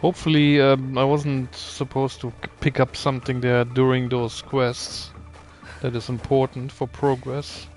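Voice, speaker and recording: Slow-talking man commenting on a game. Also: medium pitch, little pitch movement, soft background music. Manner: muttering, wry, thinking aloud. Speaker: gaming video host. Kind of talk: casual gameplay commentary.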